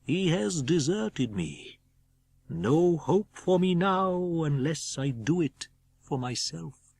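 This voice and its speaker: A man voicing a forlorn, brooding male asylum patient in a faint, far-away, drawn-out voice for an audiobook. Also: on the high side, sing-song, vocal fry, darkish tone, slightly rough, no room sound, voice pushed up, full character voice. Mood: despairing, desolate. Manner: slow, drawn-out, despairing.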